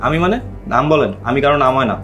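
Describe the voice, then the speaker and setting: A man plays an awkward young Bangladeshi office worker, newly married and evasive. His halting speech swings widely in pitch, with soft music faintly behind it in this TV drama. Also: lively intonation, close to an everyday voice. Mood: puzzled, annoyed. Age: young adult. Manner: hesitant, halting. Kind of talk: natural TV drama acting.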